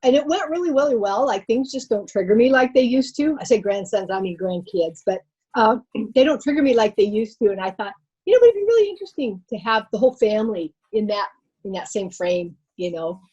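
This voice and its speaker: A woman's voice: on the high side, animated, brisk, a little mellow, husky. An older woman introducing herself on a video call, chatty and meandering. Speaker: participant. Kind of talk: casual self-introduction. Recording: dull muffled video call audio.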